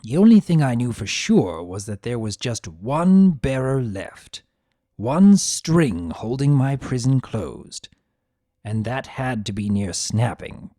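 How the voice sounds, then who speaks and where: Trapped chaos spirit, read by a performer: mellow, wry, theatrical first-person narrator, animated melody. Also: medium pitch, slow, dry recording, studio quality. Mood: bewildered, musing. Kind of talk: first-person dramatic storytelling.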